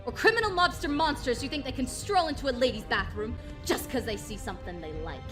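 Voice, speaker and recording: A woman's voice: high-pitched, lively intonation, quick pace, over music. A woman voicing a defiant, indignant young human heroine in a comic dub, firm and scolding. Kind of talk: comic dub character acting.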